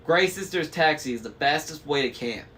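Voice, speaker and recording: A man's voice: a little high, expressive, normal speed, bright, forceful tone, voice pushed up. A man voicing a confident teenage girl who talks matter-of-factly.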